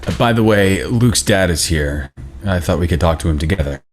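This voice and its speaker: An actor reading a calm, slightly curt school administrator in a table read. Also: male voice, low voice, moderate pace.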